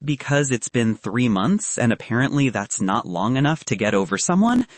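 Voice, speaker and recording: A man's voice: medium pitch, big pitch swings, moderate pace, no room sound. A man voicing a lonely young physics student in an audiobook, hesitant, defensive and full of self-doubt. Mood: defensive, insecure.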